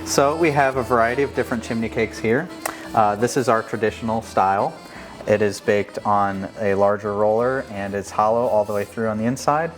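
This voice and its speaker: A man working at a bakery, explaining the menu in a steady way with many ums and uhs. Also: on the low side, normal speed, soft background music. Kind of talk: casual explanation.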